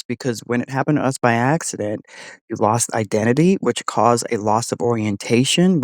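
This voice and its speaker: A man's voice: mid-range voice, dry recording. A man hosting a voice-model test, talking earnestly in a conversational, explanatory way. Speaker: host testing an AI voice model.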